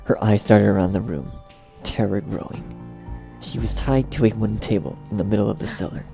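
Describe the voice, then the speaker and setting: A man narrating a dark fan fiction story as a scripted reading. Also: on the low side, a little fast, creaky, faint music behind.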